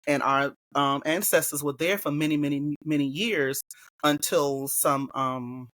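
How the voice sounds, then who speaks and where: A woman from Hilton Head Island with a Gullah Geechee accent, a guest educator talking in a relaxed interview and telling stories from home. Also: deep voice, measured pace, no echo. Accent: Gullah Geechee, South Carolina Lowcountry. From Hilton Head Island, South Carolina. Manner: relaxed storytelling, quoting others in lively voices. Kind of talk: casual interview conversation.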